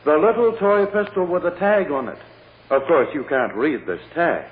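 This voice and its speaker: An actor playing a sly, insistent prosecutor in a vintage radio drama, with theatrical, pointed and coaxing questioning. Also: male voice, fairly high pitch, animated, moderate pace, natural voice. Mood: sly, dramatic. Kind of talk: 1940s radio drama acting. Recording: tinny, noisy old radio recording.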